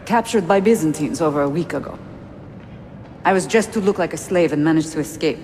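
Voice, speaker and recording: Man playing a high-pitched, hot-headed rebel fighter. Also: fairly quick, a little mellow, a little hiss or hum, natural voice. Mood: wary. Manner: blunt, urgent. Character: wary, hot-headed male rebel fighter, gruffly determined. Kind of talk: video game cutscene acting. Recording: long echo, music behind.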